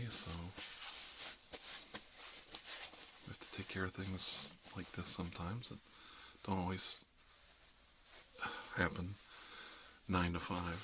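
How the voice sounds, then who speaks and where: A man's voice: sits low, noisy background. A man playing a chatty, friendly dentist in an improvised ASMR roleplay, talking in a hesitant, rambling way that drops into half-whispers. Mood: reassuring, friendly. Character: chatty, friendly emergency dentist treating monsters, mildly bigoted. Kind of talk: ASMR roleplay, improvised one-sided conversation.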